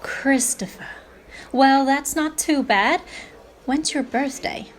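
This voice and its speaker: A woman's voice: high voice, slow. A woman playing a blunt, tactless fortune-teller in a radio play, brusque and matter-of-fact with dry gloom. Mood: blunt, nosy.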